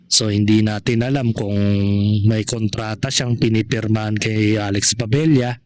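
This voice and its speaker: A man vlogging casual gossip commentary to his followers in a halting, repetitive way, often adding tag questions. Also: lowish voice, dry recording.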